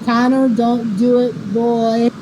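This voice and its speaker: A woman's voice: little pitch movement, slow. A woman in casual gaming voice chat, bantering in a teasing, mildly sweary way. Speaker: player in a group bus-driving game. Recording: clearly noisy voice chat, vehicle rumble.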